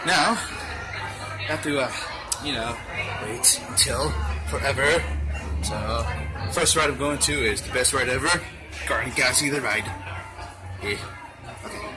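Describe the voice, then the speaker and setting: A man voicing a goofy, excitable boy puppet who chats and exclaims in a casual vlog. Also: medium pitch, unhurried, long pauses, close to an everyday voice, rough recording. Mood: chatty. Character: goofy excitable boy puppet hosting his own show. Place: busy outdoor theme park and its shops. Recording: loud crowd and music, handheld phone.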